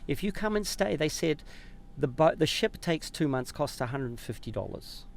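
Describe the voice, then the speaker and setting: Young kiwi guy chatting on a podcast, gravelly voice, faint hum behind, keeps restarting words. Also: on the high side, sing-song, normal speed. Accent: New Zealand. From New Zealand. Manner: rambling, restarts mid-word. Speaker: podcast guest. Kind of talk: casual chat. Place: inside a parked RV.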